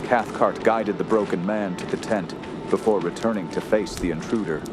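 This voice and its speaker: A man's voice: sits low, normal speed, heavy background noise, natural voice. A man playing a haunted young nephew who narrates a horror radio play in a grave, ornate, ominous manner. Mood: grave dread. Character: dread-stricken young nephew recounting a horror. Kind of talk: scripted first-person horror narration. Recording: loud music bed under voice.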